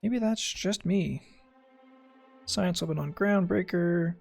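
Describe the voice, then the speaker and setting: Sarcastic gamer with a creaky voice. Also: male voice, high-pitched, takes it slowly, a little mellow. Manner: sarcastic, muttering asides. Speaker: gameplay streamer. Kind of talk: casual game commentary.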